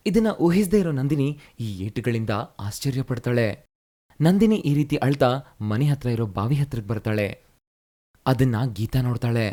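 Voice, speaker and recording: A man reads a scripted children's story with a steady, even delivery. His voice is dark and mellow, at a middle pitch. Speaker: storyteller narrator.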